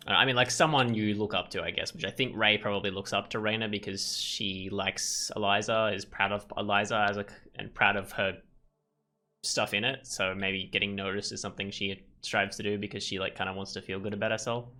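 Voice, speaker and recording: Male let's play host, lowish and bright, rambling casual commentary. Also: little pitch movement, fairly quick. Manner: rambling, thinks aloud.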